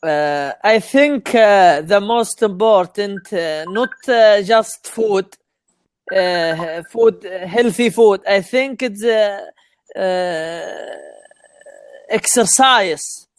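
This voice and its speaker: Slow, halting man from Saudi Arabia. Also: high voice, clear, resonant tone, no echo. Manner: halting non-native English, frequent pauses. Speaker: chat participant. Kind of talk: casual group chat.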